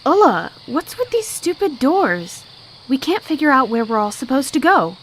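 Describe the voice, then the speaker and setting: A woman's voice: high-pitched, very animated, normal speed, slight background noise. A woman voicing a confident, impatient young cartoon creature in an audio drama. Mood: irritated. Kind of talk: audio drama character acting.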